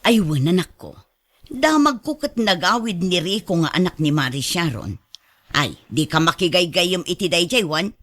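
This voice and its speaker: Lady doing caring ilocano mom in radio drama, really bright sharp voice, coaxing and advising. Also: lowish voice, animated, moderate pace. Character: caring, advising middle-aged mother.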